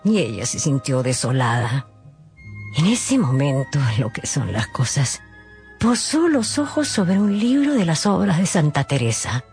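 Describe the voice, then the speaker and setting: Woman narrator, low pitch, lively intonation, wry knowing storytelling, radio drama. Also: unhurried. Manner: wry, knowing asides to listeners. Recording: faint music behind the voice.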